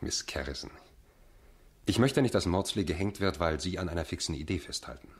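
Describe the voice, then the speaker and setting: Low-voiced, measured male barrister. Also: lively intonation, breathy edge. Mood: urgent. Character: Irwin, measured young English barrister narrating his case. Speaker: narrator and character. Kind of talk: radio drama narration and dialogue.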